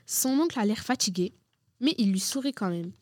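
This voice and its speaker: A woman's voice: mid-range voice, animated, fairly quick, no room sound. A woman reading scripted narration for a radio drama.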